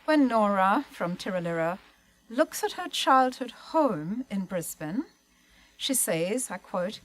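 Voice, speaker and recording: A woman speaking as a panellist, giving a prepared literary talk in an articulate, formal way with measured phrasing. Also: mid-range voice, animated, low-quality recording. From migrated to Australia, lived in Melbourne.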